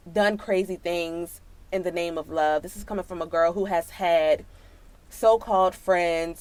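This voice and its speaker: A woman's voice: lowish voice, no room sound. An American woman telling a personal story and giving advice in a casual, slangy, emphatic way, speaking straight to her listeners. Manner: emphatic, slangy, sisterly direct address to listeners. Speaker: storytelling vlogger.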